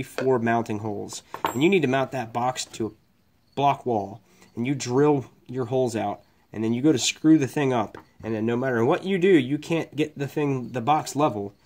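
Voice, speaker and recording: A man's voice: medium pitch, a little mellow, a little hiss or hum. Electrician guy rambling about his tools at the bench, swears casual, regular mid voice. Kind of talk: casual tool review. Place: workshop bench, indoors.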